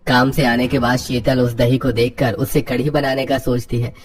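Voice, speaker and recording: A man's voice: medium pitch, little pitch movement, moderate pace. A man narrating a scripted cartoon story as the storyteller.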